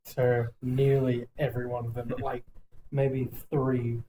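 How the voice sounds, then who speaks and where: A man co-hosting a podcast, chatting casually about movies in an opinionated, animated manner. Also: medium pitch, unhurried, slight background noise, poor audio. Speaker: podcast co-host.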